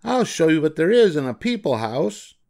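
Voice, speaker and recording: A man voicing an excitable, eager storybook mouse who exclaims like a playful showman. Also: fairly high pitch, normal speed, gravelly. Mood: eager welcoming. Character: excitable, eager male cartoon mouse showing off.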